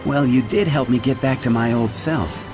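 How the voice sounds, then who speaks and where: A man voicing a solemn, humble water spirit in a video game, speaking in a slow, measured, formal way. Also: medium pitch, music in the background, natural voice. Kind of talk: English game voice acting.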